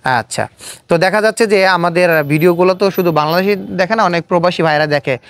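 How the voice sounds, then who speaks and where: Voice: mid-range voice, a little fast. An interviewer with a Bangladeshi accent, hosting a casual interview and probing by repeating answers back as questions. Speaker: interviewer and host. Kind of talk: casual on-camera street-style interview.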